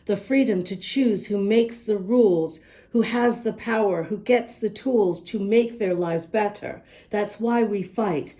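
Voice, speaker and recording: A woman's voice: mid-range voice, dark tone. A woman reading a rhyming picture book aloud as host, speaking directly to young listeners. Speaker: children's book reader and host.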